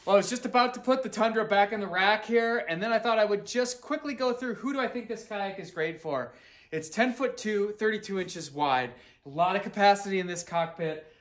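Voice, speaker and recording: Upbeat man filming a kayak review. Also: sits high. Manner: upbeat, conversational, a few restarts. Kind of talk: casual on-camera product review. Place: indoor garage with kayak racks. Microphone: handheld camera at arm's length.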